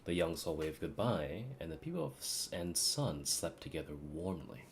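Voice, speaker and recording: A man's voice: low-pitched, big pitch swings, moderate pace, gravelly, a little hiss or hum. A man doing casual live-stream gaming commentary, muttering and thinking aloud to himself. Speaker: game streamer.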